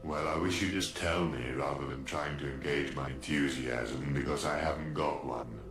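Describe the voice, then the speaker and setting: An extremely deep-voiced, morose robot in a radio comedy. He drones out bored, resigned complaints, steeped in self-pity. Loud music runs behind the voice. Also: fairly even intonation, normal speed. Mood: weary complaint. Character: gloomy, bored, self-pitying male robot with a huge brain. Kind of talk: radio comedy drama acting.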